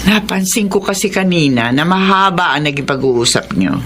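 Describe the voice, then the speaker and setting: An actress playing an observant middle-aged mother and wife in a radio drama, caring and gently probing. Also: fairly low pitch, expressive, normal speed, background noise, close to an everyday voice. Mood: inquisitive.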